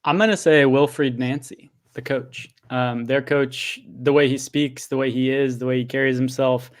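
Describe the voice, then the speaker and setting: A man in the middle pitch range speaks in a flat, even tone on a podcast panel. He weighs his words a little hesitantly. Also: normal speed. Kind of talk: casual podcast discussion.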